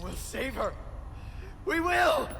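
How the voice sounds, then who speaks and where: An actor playing an earnest, stubborn young male superhero in a video game cutscene, with an impassioned, halting delivery. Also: very high voice, big pitch swings, noisy background, full character voice. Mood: stubborn, strained. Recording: long reverb, dramatic music behind.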